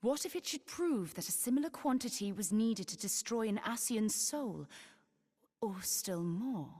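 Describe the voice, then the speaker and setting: A woman's voice: mid-range voice, animated, a little slow, warm tone, breathy edge. An actress playing a learned, cautious woman sage in a fantasy game, speaking in a formal, solemn, archaic way. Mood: grave. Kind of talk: scripted fantasy game dialogue. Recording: reverberant hall sound.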